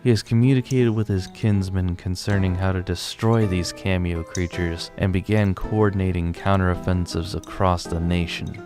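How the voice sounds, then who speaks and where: A man playing a weary, determined father who leads a rebellion, narrating his story gravely and earnestly in an audio drama. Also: deep voice, steady tone, moderate pace, hardly any pauses, over music, close to an everyday voice. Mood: resolute. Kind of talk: scripted first-person narration and audio drama dialogue.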